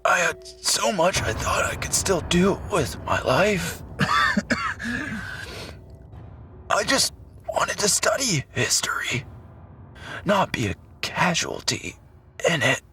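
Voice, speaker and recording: A man playing a doomed blast survivor recording his last words in an audio drama, raspy, halting and breathy. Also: fairly high pitch, sing-song, bright, forceful tone, slight background noise, pitched above the performer's own voice, exaggerated voice. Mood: grieving. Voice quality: raspy, coughing. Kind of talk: dramatic audio story monologue.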